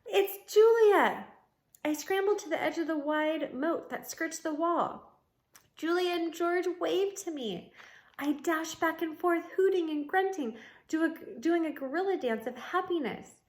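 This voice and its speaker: A woman reading a storybook aloud like a teacher, expressive and adding comments for young listeners. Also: very high-pitched, a little slow. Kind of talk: read-aloud storybook narration with asides. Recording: roomy, echoing room sound.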